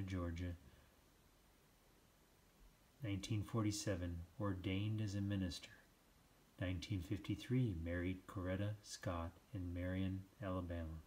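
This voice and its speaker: A man reading a children's book aloud, with measured, deliberate phrasing and dramatic pauses. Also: low voice, a little mellow, slight background noise. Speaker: storybook reader. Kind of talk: scripted children's read-aloud narration.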